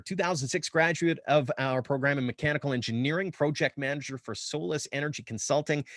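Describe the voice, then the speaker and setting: A man's voice: mid-range voice, fairly bright tone, no echo, studio quality. A man moderating a panel discussion, introducing guests and asking questions in a casual way.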